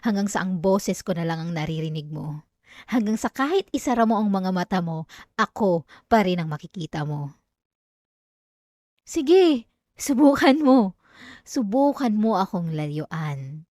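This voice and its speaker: A woman's voice: mid-range voice, sing-song, measured pace, long pauses, warm tone, no echo. A young woman with a Filipino accent voicing an obsessive, possessive female classmate in an intimate, dramatic ASMR roleplay, addressing the listener up close. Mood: menacing, possessive. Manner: intimate, pleading turning coldly threatening, dramatic. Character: obsessive yandere female classmate, clingy, wounded, menacingly possessive. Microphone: close microphone, dry sound.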